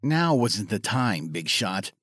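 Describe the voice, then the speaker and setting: An actor playing a grumpy, skittish motel owner in an audio drama, husky and grumbling in a colloquial way. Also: male voice, on the low side, sing-song, slow, a bit bright, dry recording, studio quality, exaggerated voice. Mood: grumbling, defiant.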